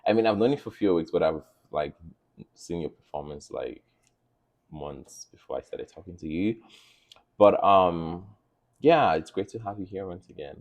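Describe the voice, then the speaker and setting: A man's voice: low-pitched, moderate pace, highs cut off. A male host running a casual interview chat. He gushes, laughs a lot, and leans on filler words.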